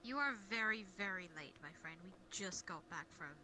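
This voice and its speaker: A woman's voice: mid-range voice, lively intonation, normal speed, sharp tone. A woman chatting casually in character during an online role-play meet-up. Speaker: role-player in a group meet-up. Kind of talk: casual in-character improvised chat. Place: online voice chat in a virtual world. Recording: noisy online voice chat.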